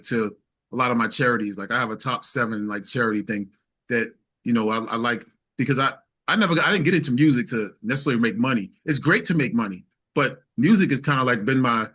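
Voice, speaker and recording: A man's voice: mid-range voice, fairly bright tone. A man speaking casually as an interview guest and singer-songwriter, emphatic, repeating phrases and quoting conversations as he tells anecdotes.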